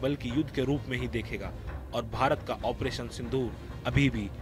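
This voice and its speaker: A man presenting a scripted news report in a formal, measured manner. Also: mid-range voice, fairly even intonation.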